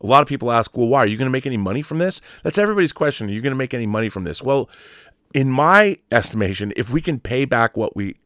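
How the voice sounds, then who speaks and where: A man giving a casual, opinionated monologue as a YouTube host, speaking bluntly and haltingly, often repeating words for emphasis. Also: mid-range voice, animated, a bit bright, dry recording, clean studio sound. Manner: blunt, sweary.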